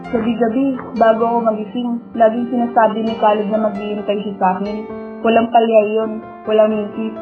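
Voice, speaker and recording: A woman's voice: a little high, natural voice. A woman with a Filipino accent playing a wistful, lovestruck young student who narrates her dreams in a radio drama. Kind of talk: first-person radio drama narration and dialogue. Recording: dull lo-fi audio, music bed. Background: background music.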